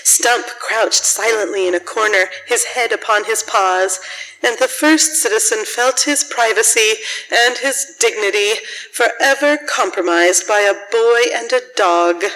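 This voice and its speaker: A woman's voice: a little high, unhurried, a bit bright, low-quality recording. A woman narrator reading a scripted, literary story for a radio drama.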